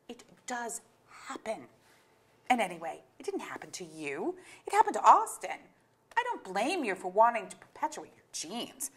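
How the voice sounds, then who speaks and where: An exasperated middle-aged woman, a blunt wife and mother. Her voice sits a little high and swings widely. She delivers sardonic, articulate lines with emphasis, in the manner of a staged play reading. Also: a bit bright. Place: theatre auditorium.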